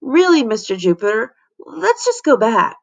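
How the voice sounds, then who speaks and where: A whiny fourth-grade girl from a storybook, as a woman reader plays her, anxious and dramatic. Her voice wails and sniffles in a lifted pitch. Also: sing-song, moderate pace, gravelly, lo-fi sound, full character voice. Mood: frightened pleading.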